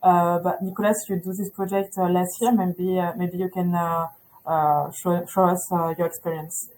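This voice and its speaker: A woman's voice: on the low side, flat delivery, moderate pace, a little mellow, a little hiss or hum, poor audio. A woman who speaks as a presents a school webinar on a video call in English, hesitating often with fillers.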